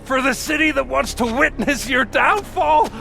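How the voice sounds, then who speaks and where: A man's voice: extremely high pitch, normal speed, vocal fry, soft background music, full character voice. A male actor playing an arrogant, scheming, volatile villain in a video game cutscene, with a theatrical, taunting delivery. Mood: bitter, persuasive. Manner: theatrical, taunting, swinging from smug to shouting.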